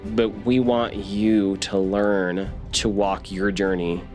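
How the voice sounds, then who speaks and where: A man's voice: fairly low pitch, little pitch movement, slow, a little mellow, faint music behind. A man talking conversationally in an interview as a producer, often checking in with short tag questions.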